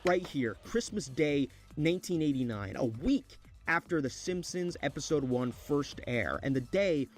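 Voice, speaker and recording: A man's voice: on the high side, expressive, a little slow. A man narrating a scripted video essay as an online essayist. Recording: faint music behind narration. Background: faint music.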